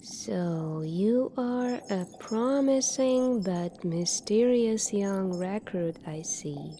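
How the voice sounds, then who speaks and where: A woman in a soft-spoken ASMR roleplay, playing a calm, formal military doctor in a measured, clinical manner. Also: mid-range voice, slight background noise.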